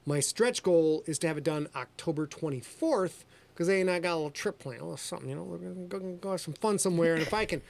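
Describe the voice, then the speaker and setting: A man's voice: on the high side, lively intonation, quick pace, creaky, hardly any pauses. A middle-aged man hosting a podcast livestream, joking and boasting in a showman-like way. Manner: boastful, jokey, showman-like, addresses ladies and gentlemen. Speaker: podcast host and author. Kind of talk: casual livestream chat.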